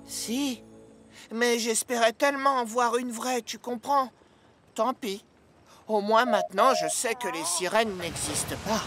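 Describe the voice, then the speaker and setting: Earnest young cartoon boat, music behind. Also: male voice, extremely high pitch, unhurried. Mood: wistful. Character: young, earnest, naive, apologetic talking sail boat. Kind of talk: animated children's cartoon dub.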